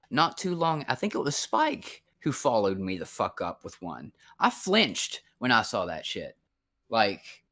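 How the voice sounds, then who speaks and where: A man's voice: mid-range voice, sharp tone. A man in his thirties in a casual voice chat, rambling and frank, swearing often. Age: 30s.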